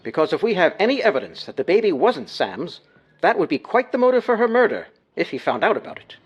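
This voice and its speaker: A man's voice: high-pitched, very animated, fast talker, slightly rough, highs cut off, exaggerated voice. A man playing an urgent, suspicious coroner pressing an investigation in a radio drama. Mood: urgent, insistent. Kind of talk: dramatised true-crime radio acting.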